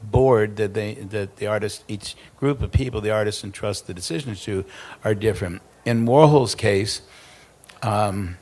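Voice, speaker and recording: A man speaking on a conference panel, halting and repeating words as he explains. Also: lowish voice, a little mellow. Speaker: foundation president. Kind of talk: panel discussion answer.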